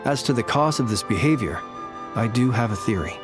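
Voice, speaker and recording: An actor playing a solemn, courteous graveyard lightkeeper in a video game, speaking in a formal, measured, old-fashioned way. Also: male voice, mid-range voice, animated, music in the background, close to an everyday voice. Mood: solemn, thoughtful. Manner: formal, measured, archaic diction.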